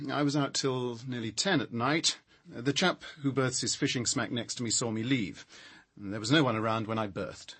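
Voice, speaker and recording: An actor playing a prickly, sardonic scientist in a radio crime drama, speaking in a dry, articulate way. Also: male voice, medium pitch, a bit bright, slightly rough. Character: prickly, sardonic male power station scientist.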